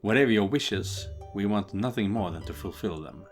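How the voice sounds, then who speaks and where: A man voicing a deferential, seductive servant, speaking softly and formally in a comedic animated story. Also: fairly low pitch, moderate pace, soft background music, close to an everyday voice.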